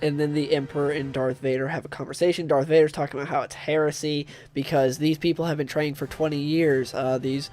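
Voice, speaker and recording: A man's voice: fairly high pitch, little pitch movement, quick pace, few pauses, darkish tone, faint music behind. Stuffed-up nasal young man reviewing comics. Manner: rambling, with frequent fillers and restarts. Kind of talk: casual review monologue.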